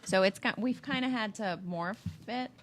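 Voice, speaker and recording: A woman's voice: medium pitch, normal speed. A woman co-hosting a radio show, chiming in with wry, playful quips. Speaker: radio co-host. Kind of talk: casual studio banter.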